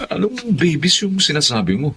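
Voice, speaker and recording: Teasing male boss, very animated. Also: on the high side, moderate pace, noisy background. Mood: easygoing. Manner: jokey, mock-stern. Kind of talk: Filipino TV drama acting.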